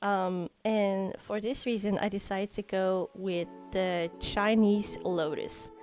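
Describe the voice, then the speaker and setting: A young woman with a French Canadian accent, French her first language, narrating her journal drawing in a relaxed, rambling way with many ums. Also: mid-range voice, slow pace. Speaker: journaling YouTuber. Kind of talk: voice-over narration of a drawing session. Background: background music.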